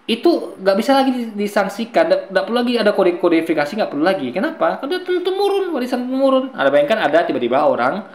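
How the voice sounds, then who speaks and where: A man with a fairly high voice lectures his viewers in an argumentative commentary, often calling them guys. His voice rings in an echoey room. He explains his points at length, like a teacher. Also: sing-song, fast. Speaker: commentator responding to a video.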